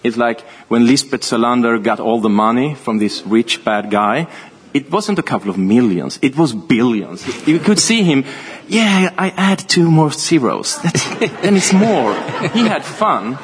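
A man's voice: mid-range voice, lively intonation, a little hiss or hum. A man, an author, talking casually on a panel, often stopping to restart his sentences and breaking into laughter. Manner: chatty, halting, laughs often. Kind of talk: panel conversation.

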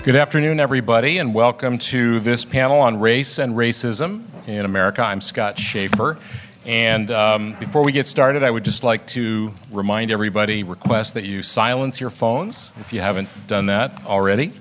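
A man moderating a live book festival panel, relaxed and with frequent "uh" fillers. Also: medium pitch, normal speed, slight background noise. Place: festival event room.

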